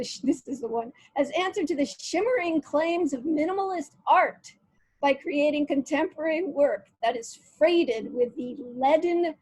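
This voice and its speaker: A woman hosting a conversational interview over a video call, reading passages aloud and talking with frequent pauses and fillers. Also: sits high, a little mellow, no room sound, low-quality recording. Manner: hesitant, reads quotes aloud, many fillers. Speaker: interview host.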